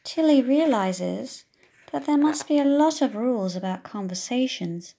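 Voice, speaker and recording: Woman, children's audiobook reader, high breathy husky voice, unhurried, expressive, engaging teacherly asides. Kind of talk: expressive read-aloud storytelling with asides to young listeners.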